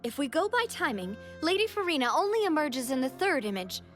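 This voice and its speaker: A woman's voice: high voice, sing-song, a little fast, faint music behind. An actress playing a thoughtful young woman in video game dialogue, reasoning aloud in an earnest way.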